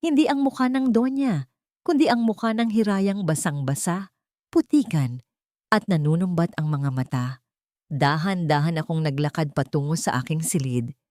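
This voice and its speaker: A woman's voice: fairly low pitch, moderate pace, darkish tone, no room sound, clean studio sound. A woman narrating a scripted first-person story in a dramatic, suspenseful and emotive way.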